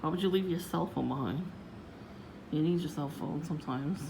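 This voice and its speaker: A woman vlogging casually about her day in a low voice, meandering with many fillers and restarts. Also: normal speed, vocal fry. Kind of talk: casual rambling vlog. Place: outdoors, windy. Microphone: phone. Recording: noisy phone recording.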